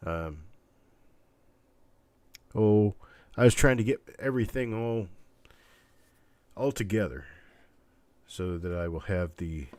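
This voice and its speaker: A man hosting a casual live online auction stream, chatting in a rambling, halting, folksy way with a scratchy, stuffed-up voice weakened by illness. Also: lowish voice, a little mellow, dry recording. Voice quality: congested, stuffed-up nose. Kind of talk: casual livestream chat with viewers.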